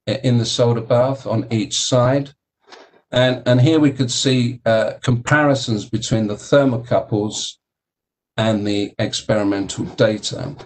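Halting man giving a technical lecture. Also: medium pitch, low-quality recording. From United Kingdom. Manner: halting, many fillers and repeated words. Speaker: keynote speaker, engineering professor. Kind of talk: technical conference presentation.

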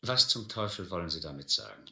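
Forceful, animated rogue sea captain. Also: male voice, low voice, fairly quick, gravelly, heavily acted. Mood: defiant. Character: guarded rogue sea captain posing as island master. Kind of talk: radio drama acting. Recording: roomy old radio-play recording.

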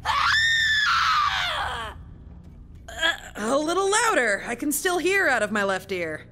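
A woman's voice: extremely high pitch, huge pitch swings, slow, raised voice, heavy background noise, full character voice. A woman voicing a brash, sarcastic young adventurer in a video game, punchy and irreverent. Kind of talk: scripted video game character dialogue.

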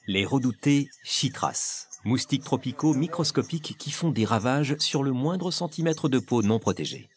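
A man's voice: medium pitch, lively intonation, normal speed, few pauses, crowd noise. A man reading scripted, dramatic documentary narration as a studio voice-over.

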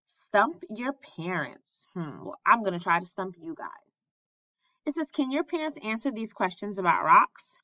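A woman reading aloud to children as a teacher, deliberate and clearly enunciated, in an instructional way. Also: mid-range voice, big pitch swings, sharp tone, dry recording, dull audio. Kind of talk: children's read-aloud lesson narration.

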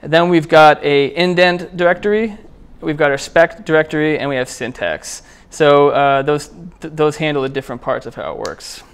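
A man gives an informal technical talk at a meetup. His voice is clean and resonant and sits a little high for a man. He speaks conversationally, with halting phrasing as he explains what he is demonstrating. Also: expressive, clear, resonant tone. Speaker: presenter at a programming meetup. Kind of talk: casual technical talk with live demo. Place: indoor meetup room, speaking before a small group.

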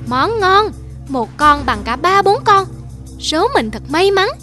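A woman's voice: very high-pitched, sing-song, slow, heavily acted. A woman voicing a greedy, boastful young wolf in a cartoon, with music behind the voice. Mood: excited. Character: greedy young wolf boasting and hunting for tasty striped prey. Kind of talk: cartoon voice acting. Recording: loud music under the voice.

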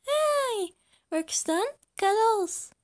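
A woman's voice: extremely high pitch, expressive, drawn-out words, full character voice. A woman voicing a small, eager, clingy and naive void creature in an amateur comic dub. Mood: clingy, childlike.

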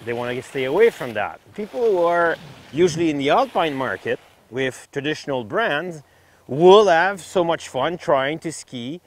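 A man's voice: on the high side, sing-song, moderate pace. Middle-aged man presenting outdoors. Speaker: ski test host. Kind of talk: casual product review and interview. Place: outdoors on a snowy ski slope.

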